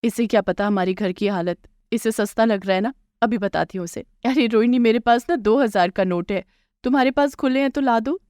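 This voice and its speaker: A woman's voice: fairly high pitch, a little fast, a little mellow, no echo, clean studio sound, natural voice. A woman voicing a sly, envious young daughter-in-law in a moral story, by turns sugary and scheming.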